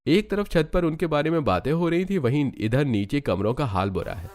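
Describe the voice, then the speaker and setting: A man's voice: a little high, animated, normal speed, darkish tone. A man narrating a scripted cartoon story.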